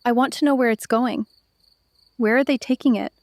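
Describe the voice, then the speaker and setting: Actress for resolute woman investigator, noir podcast: fairly high voice, terse, factual, dry sound. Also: fairly even intonation, normal speed, no echo, natural voice. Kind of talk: audio drama dialogue.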